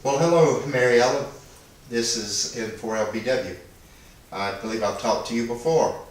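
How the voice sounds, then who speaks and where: A man's voice: mid-range voice, normal speed. A man making casual two-way radio calls as an amateur operator, speaking in a hesitant, apologetic way. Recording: echoey, distant, noisy internet radio link.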